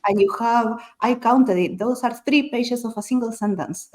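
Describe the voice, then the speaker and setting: A woman's voice: fairly high pitch, normal speed, darkish tone. A woman whose first language is Spanish, talking casually as a panelist in a book discussion, explaining her thoughts in a considered way.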